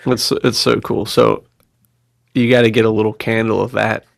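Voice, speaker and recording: Male co-host, lowish gravelly voice, slangy and agreeable, recorded dry. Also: flat delivery, moderate pace, dry recording. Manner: slangy and agreeable, calls his co-host dude. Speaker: podcast co-host. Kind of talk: casual conversational podcast chat.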